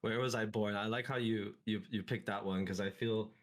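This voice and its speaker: Low-voiced Singaporean man, relaxed and reflective. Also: moderate pace. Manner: relaxed, reflective, many fillers and restarts. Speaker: esports team CEO, guest. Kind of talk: casual interview conversation.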